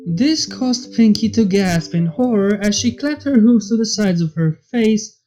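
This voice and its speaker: Mellow-voiced woman narrating a story. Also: mid-range voice, lively intonation, measured pace. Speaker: fan fiction narrator. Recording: slightly dull audio, music behind. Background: background music.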